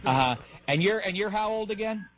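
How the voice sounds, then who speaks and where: A man's voice: high-pitched, expressive, fairly quick, a bit bright, a little hiss or hum. A man in his thirties hosting a comedic radio show, teasing a caller in a mock-formal way with frequent stammered restarts. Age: 30s. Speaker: radio host.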